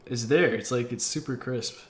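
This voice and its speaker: Enthusiastic young American man, mid-pitched. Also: normal speed, reverberant. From Massachusetts, United States. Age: 20s. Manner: enthusiastic, slangy, excitable. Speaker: game streamer, host. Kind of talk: casual chat.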